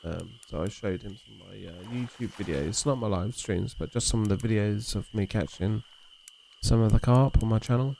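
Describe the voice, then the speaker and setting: A man hosting a game livestream, chatting in a relaxed, rambling way with a gravelly voice. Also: on the low side, moderate pace, slight background noise. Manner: relaxed, rambling, talks to chat and reads messages aloud. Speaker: livestream host. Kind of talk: casual chat while streaming a fishing game.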